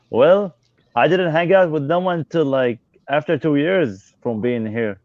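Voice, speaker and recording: A man from Syria chatting on a video call, halting with loose phrasing. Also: fairly high pitch, no echo. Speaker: guest. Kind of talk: casual video-call chat. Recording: dry lo-fi call audio.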